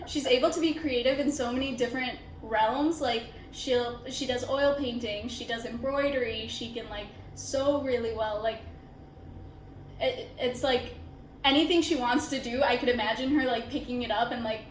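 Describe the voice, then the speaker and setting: A woman's voice: on the high side, steady tone, normal speed, roomy sound, slight background noise, poor audio. A woman in her twenties, an architecture student, speaking reflectively in a casual interview with many filler words. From India, raised in Chicago suburbs. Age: 20s.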